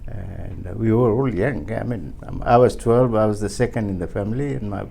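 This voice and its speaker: A man, speaking as an interview guest in a halting, earnest way with many pauses and restarts. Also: on the low side, creaky, dark tone, husky. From Fiji. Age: 70s. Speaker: interview guest, community leader. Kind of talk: casual interview.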